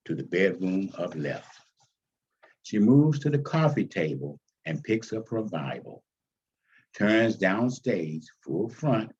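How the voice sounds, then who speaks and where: A man reading his own stage script aloud as its author, with deliberate, dramatic phrasing. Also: sits low, husky, dull audio.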